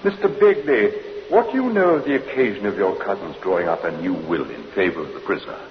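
A man's voice: deep voice, big pitch swings, a little hiss or hum. A prosecuting barrister in an old radio drama. His formal, probing voice rises and falls widely. It rings in a long hall echo on a muffled recording. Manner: formal, deliberate questioning. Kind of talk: 1950s radio courtroom drama acting.

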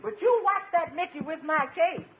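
An actress playing a folksy, deferential housekeeper and cook in a 1950s radio comedy. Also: female voice, extremely high pitch, animated, moderate pace. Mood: gently scolding. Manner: folksy, deferential, addresses employer as sir. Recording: muffled, faintly noisy vintage radio recording.

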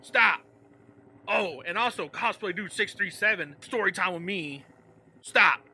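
A man playing a frantic, halting military underling in a comic audio skit. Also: sits high, pushed and bright, husky, exaggerated voice. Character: frantic military private and sergeant duo reading orders.

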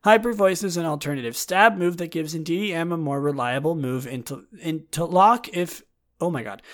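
A man hosting his own show, his throat hoarse and raspy from a long stretch of talking. His voice sits a little higher than most men's. He talks loosely, circling back and restarting sentences, with wry jokes at his own expense. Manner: rambling, with frequent restarts and self-deprecating asides. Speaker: podcast host. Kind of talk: casual solo commentary, partly reading a write-up aloud.

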